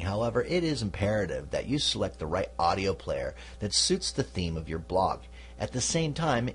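A man's voice: sits low, slight background noise. A man hosting a podcast, giving an instructional talk casually, with "you know" asides. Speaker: podcast host.

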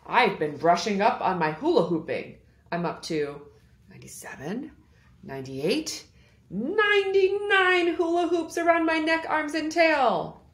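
A woman as show-off dinosaur kids, very animated pitch. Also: a little slow, echoey room. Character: show-off dinosaur kids bragging about their acts.

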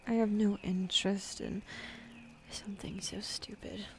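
Woman playing a slow, soft-spoken bored demoness. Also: mid-range voice, little pitch movement. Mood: aloof, softening. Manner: soft, often breathy and half-whispered, dismissive sighs. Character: bossy, jaded, bored female demon, secretly curious. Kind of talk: ASMR roleplay.

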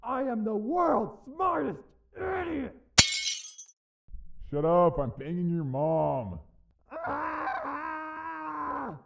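A frantic, self-loathing teenage boy from a parody anime, performed by a voice actor. The voice is very shrill and gravelly, drawn out in anguish. The sound is dull and lo-fi. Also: huge pitch swings, drawn-out words, heavy background noise, full character voice.

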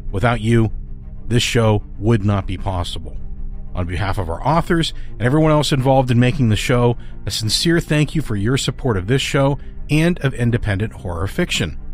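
A man hosting a podcast, reading a scripted introduction. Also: medium pitch. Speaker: podcast host.

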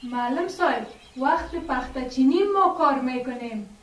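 A polite village schoolgirl, played by a woman in a radio drama. Her high voice is earnest and deferential, sounding set back from the mic in a reverberant room. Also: close to an everyday voice. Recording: echoey room, distant from mic.

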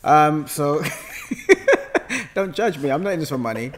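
A man's voice: on the high side, very animated, slow, a crowd in the background. A man in his thirties with a London accent, telling stories casually and colloquially as an interview guest on a podcast microphone. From London, England. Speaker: guest actor. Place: bright indoor room with sofa. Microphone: podcast microphone on boom arm.

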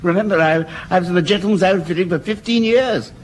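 A man playing a gentle, polite old outfitter. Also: high voice, fast talker, slight background noise, natural voice. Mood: kindly. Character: gentle elderly male former shop outfitter. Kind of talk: 1970s radio sitcom acting.

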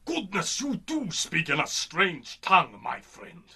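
Male actor as a winged warrior, sharp raspy voice, slow formal delivery, proud, radio serial. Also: sits high, wildly swooping pitch, exaggerated voice. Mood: grave alarm. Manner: formal, theatrical. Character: proud, fierce winged bird-man warrior, archaic speech. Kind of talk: old-time radio serial acting.

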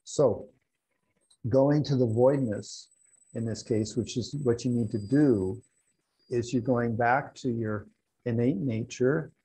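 A man's voice: medium pitch, mellow, husky, low-quality recording. An older man teaching a Buddhist class from a room at home, explaining at length with restarts and asides, on a lapel mic. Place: room at home with wall hangings.

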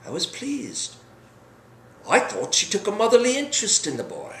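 A man playing a remorseful, bitter old general in a halting, brooding dramatic stage monologue. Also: high-pitched, huge pitch swings, a bit bright, voice pushed up, exaggerated voice. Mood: wistful. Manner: halting, brooding, swings from murmur to outburst. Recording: echoey room with background noise.

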